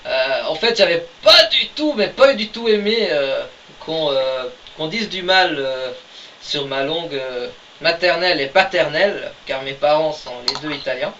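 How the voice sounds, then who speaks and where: Guy with a high voice, lots of umms, jokey, far from the mic, muffled lo-fi with a bit of hiss. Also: animated, a little slow, pushed and bright. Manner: hesitant, many fillers, joking. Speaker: podcast co-host, writer of the story. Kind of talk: casual chat. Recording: distant mic, dull lo-fi sound, faint hiss.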